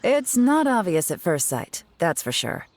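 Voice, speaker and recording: Cool, low-voiced woman investigator. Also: big pitch swings, a little hiss or hum. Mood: measured, explanatory. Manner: composed, wry, measured storytelling. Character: cool, self-assured, teasing woman investigator. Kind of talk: video game character dialogue. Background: music.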